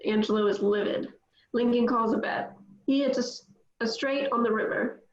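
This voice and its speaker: A woman reads stage directions in a steady, plain way, her voice a little high. The recording sounds echoey and dull, like lo-fi audio in a roomy space. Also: moderate pace. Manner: plain, steady reading with small slips. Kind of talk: reading stage directions at table read.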